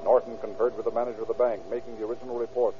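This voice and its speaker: A man narrating an old radio crime drama in a brisk, formal scripted style. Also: mid-range voice, flat delivery, background noise, lightly acted. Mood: brisk, factual. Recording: muffled lo-fi old radio recording.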